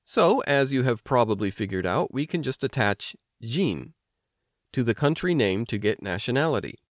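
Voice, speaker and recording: An American man hosting an instructional language podcast, explaining things clearly like a teacher. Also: medium pitch, big pitch swings, moderate pace, dry recording, clean studio sound. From United States. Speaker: language lesson host and teacher. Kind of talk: instructional podcast narration with personal anecdotes.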